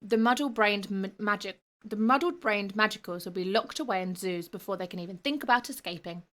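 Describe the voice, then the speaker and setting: A woman's voice: on the high side, expressive, brisk, a bit bright. Woman doing a curt, scheming boy. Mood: sneering. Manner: curt, snapping, hissing. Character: scheming, bad-tempered boy plotting revenge.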